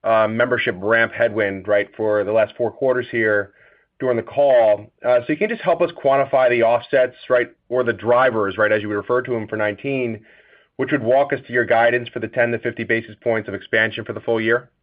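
A man's voice: medium pitch, fairly even intonation, brisk. A man speaking as an analyst caller, putting spontaneous, pressing and repetitive questions on an earnings call. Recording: dull conference-call phone audio.

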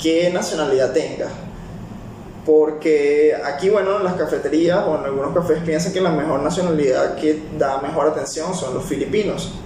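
Venezuelan male barista, casual rambler, distant mic with steady noise behind. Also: a little high, moderate pace, very echoey. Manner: rambling, many fillers and restarts. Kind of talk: casual personal talk.

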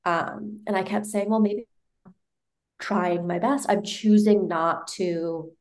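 A young woman from New York, a podcast guest telling her personal story in a bright, giggly way. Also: medium pitch, fairly even intonation, takes it slowly. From United States, New York.

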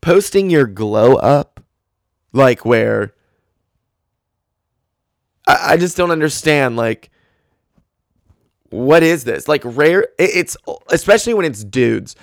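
A man's voice: medium pitch, animated, slow, pauses often, no echo, clean studio sound. A man in his twenties hosting a solo podcast, talking casually and rambling with lots of fillers. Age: 20s. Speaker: podcast host.

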